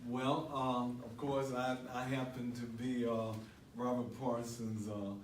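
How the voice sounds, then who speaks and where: An older man giving an earnest, halting endorsement speech without a script. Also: mid-range voice, little pitch movement. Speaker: church deacon giving an endorsement. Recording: echoey room, distant mic, faint noise.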